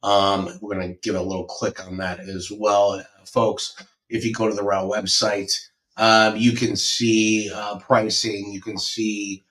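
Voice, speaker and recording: A man's voice: lowish voice, flat delivery, measured pace, a bit bright. A man co-hosting a show, upbeat and rambling with many fillers as he plugs an event. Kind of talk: casual show chat. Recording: distant mic with faint noise.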